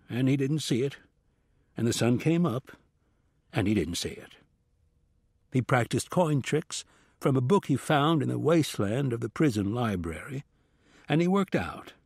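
Male fiction narrator, mid-pitched, very varied intonation, measured storytelling delivery. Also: a little mellow, slightly rough, no room sound, clean studio sound. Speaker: audiobook narrator. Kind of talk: scripted audiobook fiction narration.

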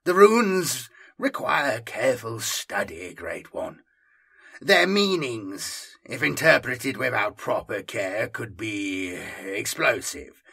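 A man's voice: mid-range voice, sing-song, slow pace, gravelly, bright, forceful tone, breathy edge, exaggerated voice. A man voicing a frail, cunning old sorcerer-scholar in an audiobook, with a harsh, quavering voice. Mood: cautious, placating.

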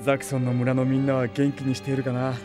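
A man playing a gentle, courteous mage and father in a Japanese fantasy audio drama, speaking politely and mildly. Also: mid-range voice, completely flat delivery, fast talker, close to an everyday voice. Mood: calm, pleased. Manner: polite, soft-spoken, mild. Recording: music behind the voice.

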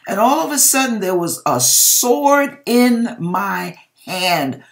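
A woman's voice: mid-range voice, sing-song, slow. A middle-aged woman with an American accent reading aloud dramatically and talking directly to viewers in a theatrical, emphatic way, drawing out words, in a home kitchen. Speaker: host reading viewers' dream accounts.

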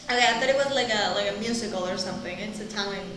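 A woman's voice: mid-range voice, racing. A woman in her twenties whose first language is not English, giving casual, blunt, sweary reaction commentary. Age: 20s. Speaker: host of TV show reaction videos. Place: small room with a plain wall. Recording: echoey room with clear background noise.

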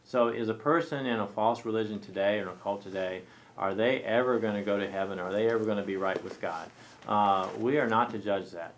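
A man in his forties co-hosting a studio Bible discussion, explaining his points in a measured way. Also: fairly low pitch, slight background noise. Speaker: co-host. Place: small studio set with armchairs.

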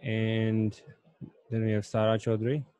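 A man's voice: lowish voice, little pitch movement, measured pace, warm tone, clean tone, no room sound, lo-fi sound. A man in his thirties hosting an online workshop, politely calling on participants one by one. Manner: polite, brief, moves things along. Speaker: workshop host. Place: indoor room. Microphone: handheld microphone.

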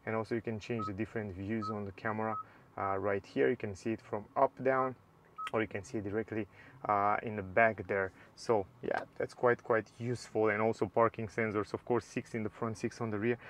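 Man casually explaining a car's dashboard. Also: medium pitch. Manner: non-native English phrasing, relaxed and explanatory. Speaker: car reviewer. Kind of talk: casual walkthrough narration. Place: inside a parked car.

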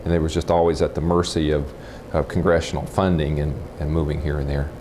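A man in his forties from Texas with an American accent, recounting his life matter-of-factly and haltingly in an interview on a lapel mic. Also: a bass voice, little pitch movement, mellow. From Texas, United States. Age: 40s. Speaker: interviewee, nuclear physicist. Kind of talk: informal oral history interview, recollecting. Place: room in a house, indoors. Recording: clip-on mic with faint background noise.

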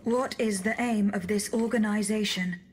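A woman playing a calm, inquisitive female automaton bodyguard, asking formal questions in a measured way for a game. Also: mid-range voice, slight background noise, natural voice. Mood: measured, inquiring. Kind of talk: game cutscene voice acting.

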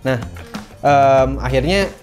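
A man's voice: medium pitch, takes it slowly. A young man from Indonesia, a barbershop founder, chatting casually in a home kitchen on a lapel mic, mixing in English business terms and fillers. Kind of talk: casual chat while cooking. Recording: background music over the talk. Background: background music and frying sounds.

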